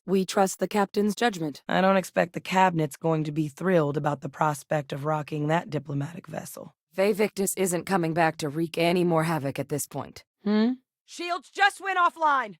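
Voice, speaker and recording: An actress playing an earnest, guarded military scientist in a space video game, speaking in a measured, articulate, serious way. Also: female voice, sits low, a little mellow, no room sound, clean studio sound, lightly acted. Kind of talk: video game character voice lines.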